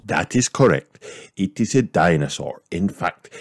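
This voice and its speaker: A cheerful male rabbit speaks in a formal, announcing way, read by a man. The voice is low, lilting and slow, and the room is dry. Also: lively intonation, dry recording. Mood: proud. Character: cheerful grown male rabbit, proud expert.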